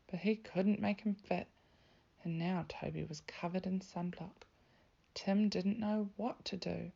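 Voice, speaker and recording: A woman reading a children's storybook aloud as a teacher, with expressive narration. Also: mid-range voice, gravelly, lo-fi sound.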